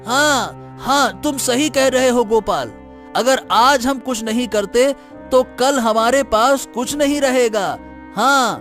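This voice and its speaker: A man plays an anxious elderly village grandfather in a cartoon story, his voice fairly high for an old man and his words faltering and halting. Soft music runs faintly underneath. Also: exaggerated voice. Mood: agreeing. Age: older. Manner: hesitant, halting.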